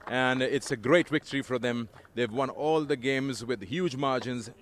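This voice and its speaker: A man presents a post-match interview and trophy ceremony at a cricket ground, speaking in a measured, formal way. His pitch sits in the middle range, and faint noise hangs behind him outdoors.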